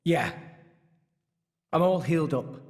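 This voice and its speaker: A man's voice: a little high, takes it slowly. A male actor playing an earnest teenage boy adventurer in a game cutscene, talking in plain, informal words. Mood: subdued. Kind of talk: animated game cutscene acting.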